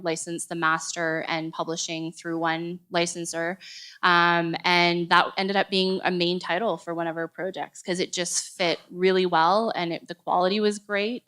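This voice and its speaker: A woman on a conference panel speaks in a low-pitched, smooth voice. Her delivery stays even and flat. She hedges casually with fillers. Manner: casual, hedging with um and like. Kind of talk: panel discussion.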